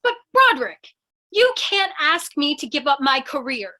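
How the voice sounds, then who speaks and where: A woman's voice: sits high, very animated, moderate pace, pushed and bright, full character voice. A woman playing a plucky, mock-dignified lady gang boss in an old-time radio play, theatrical and coy. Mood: prim, earnest.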